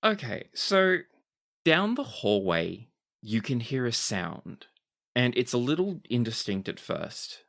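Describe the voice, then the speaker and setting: A man running a tabletop game as game master, narrating scenes in an unhurried storytelling manner. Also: mid-range voice, sing-song, gravelly, a bit bright. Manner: descriptive, unhurried storytelling with dramatic pleading quotes.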